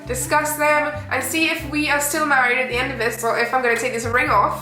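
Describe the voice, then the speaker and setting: A high-pitched woman talks casually to camera, her nose stuffy and sniffly from a cold. She teases and laughs as she goes. The room rings with echo and music plays behind her. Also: fairly even intonation, fast talker. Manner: chatty, laughs often, teasing. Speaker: co-host of a couple channel. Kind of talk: casual couple chat to camera.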